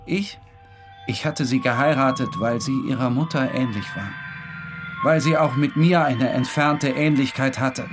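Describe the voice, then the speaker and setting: Guy acting a tormented obsessive man telling his story, brooding and intense, music playing clearly under it. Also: mid-range voice, a little slow, close to an everyday voice. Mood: weary, haunted. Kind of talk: dramatic first-person literary narration. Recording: studio narration over clear music.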